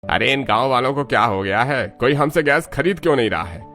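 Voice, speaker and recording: Man acting indignant scheming dealer, blustering, mid-pitched cartoon villain, faint music. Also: expressive, fairly quick, pushed and bright. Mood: worried, annoyed. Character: indignant scheming male gas company dealer. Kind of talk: scripted cartoon dialogue.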